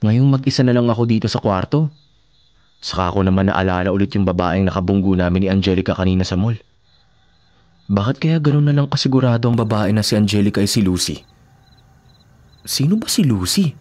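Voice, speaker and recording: A man acting an earnest, lovestruck young boyfriend in a radio drama, expressive and prone to stammering when startled. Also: lowish voice, a little fast, long pauses, close to an everyday voice. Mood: puzzled, uneasy.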